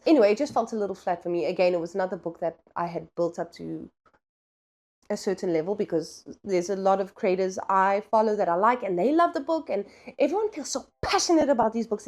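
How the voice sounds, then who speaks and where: A woman's voice: mid-range voice, a little mellow. A woman talking casually and candidly in a book review vlog, opinionated, slangy and emphatic.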